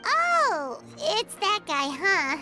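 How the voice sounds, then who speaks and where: A woman voicing a tiny, excitable, chatty childlike fairy companion in a video game, squeaky and exclamatory. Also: very slow, exaggerated voice. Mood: pondering. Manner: exclamatory, refers to self by name. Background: music.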